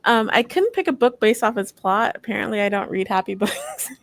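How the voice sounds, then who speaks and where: A woman's voice: medium pitch, expressive, brisk, dry recording. A woman sharing her reading in a casual panel chat. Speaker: book discussion panelist. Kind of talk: casual chat about books.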